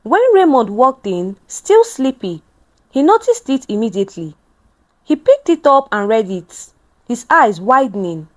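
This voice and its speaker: A woman narrating a scripted dramatic story in a measured, expressive storytelling manner. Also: fairly high pitch.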